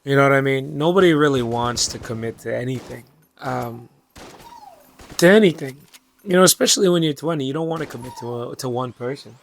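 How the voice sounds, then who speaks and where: A young man in his twenties whose voice is hoarse and raspy, worn down from shouting. He talks in a loose, halting late-night monologue, circling back with fillers and restarts and swearing casually. His voice sits at an ordinary middle pitch for a man. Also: slight background noise. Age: 20s. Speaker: channel host.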